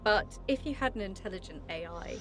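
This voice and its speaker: A woman's voice: mid-range voice, lively intonation, a little fast, poor audio. A woman talking casually in a group of game players. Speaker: player in a role-playing game. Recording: clearly audible music underneath.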